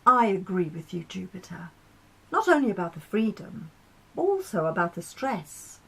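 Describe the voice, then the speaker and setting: A woman voicing a composed, slightly smug male planet in a storybook, measured and dryly agreeable. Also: mid-range voice, sing-song, gravelly, breathy edge, heavily acted, rough recording.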